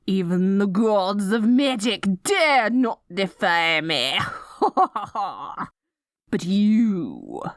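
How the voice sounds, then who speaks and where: Casting: woman as haughty yet lovestruck evil queen for ASMR roleplay; slow, swooping, melodramatic delivery that can turn flustered, dry close sound. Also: medium pitch, dry recording. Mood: gloating, imperious. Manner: grandiose proclamations breaking into flustered stammering. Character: dorky, theatrical evil queen, haughty yet secretly smitten. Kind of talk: scripted ASMR roleplay acting.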